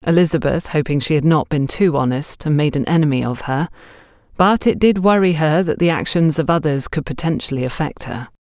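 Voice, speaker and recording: A woman's voice: sits low, a little fast, darkish tone, no echo, clean studio sound. A woman reading a scripted mystery story aloud as an audiobook narrator.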